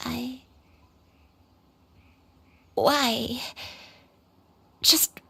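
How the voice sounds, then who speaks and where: A frail, elderly grandmother in a video game drama. She speaks slowly and wistfully in a fairly high, breathy voice. There is a creak in it and a gentle, haunted temper. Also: steady tone, exaggerated voice. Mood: tender, anguished. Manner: slow, breathy, halting, wistful delivery.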